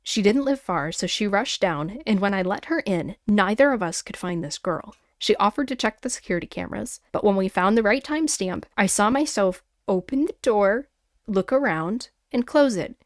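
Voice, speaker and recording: A woman podcast host reading listeners' stories aloud in a casual, conversational way with animated personal asides. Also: mid-range voice, normal speed, no echo, clean studio sound. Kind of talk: casual chatty storytelling with read-aloud emails and asides.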